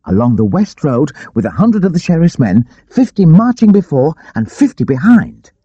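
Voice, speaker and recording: A man voicing an excited young male outlaw who exclaims his news urgently in an audiobook. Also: fairly high pitch, moderate pace, breathy edge, dry recording, dull audio, voice pushed up.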